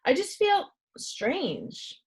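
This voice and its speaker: A woman's voice: sits high, wildly swooping pitch, drawn-out words, voice pushed up, exaggerated voice. A woman voicing a meek, worried young schoolboy from a storybook, answering briefly and hesitantly. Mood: meek, uneasy.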